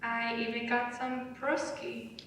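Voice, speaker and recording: A woman's voice: a little high, level tone, unhurried, vocal fry, fairly bright tone. Young woman acting a concerned hiker, echoey room. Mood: concerned, gentle. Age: young adult. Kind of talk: dramatic script reading. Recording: very echoey room, distant mic, faint noise.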